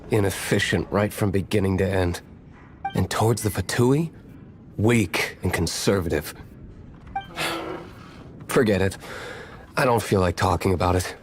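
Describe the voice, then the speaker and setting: A man playing an aloof, cynical young tavern owner in a video game, speaking in a cool, dry, measured way. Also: lowish voice, a little hiss or hum, close to an everyday voice. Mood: cool disdain. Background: background music.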